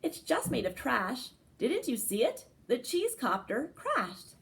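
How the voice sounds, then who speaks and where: Woman voicing a self-doubting, high-voiced little girl inventor. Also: huge pitch swings, takes it slowly, vocal fry, heavily acted. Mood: dejected.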